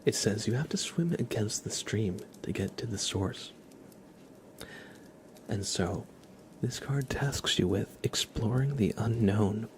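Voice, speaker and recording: A man speaking softly and gently in a casual ASMR livestream style, often drifting into a whisper. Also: on the low side, expressive, takes it slowly, slight background noise. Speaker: ASMR live streamer, host. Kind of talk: soft-spoken ASMR livestream, casual chat and tarot reading.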